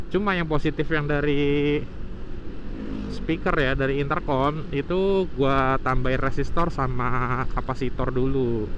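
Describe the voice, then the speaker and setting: A man's voice: mid-range voice, muffled recording, noisy background. A man explaining things informally to viewers in a casual motovlog, thinking aloud as he goes.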